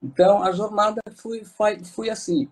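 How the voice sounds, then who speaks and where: A man's voice: high-pitched, normal speed. A Moroccan man speaking with a Moroccan accent, an interview guest talking casually with many fillers and restarts.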